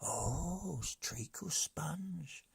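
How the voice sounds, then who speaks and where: Storybook henchman, done by a male reader: high, husky, excitable, simple-minded and fond of food and loot. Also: very slow, no room sound, heavily acted. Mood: excited. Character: excitable, gluttonous male brigand underlings, simple and grumbling. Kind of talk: storybook character voice.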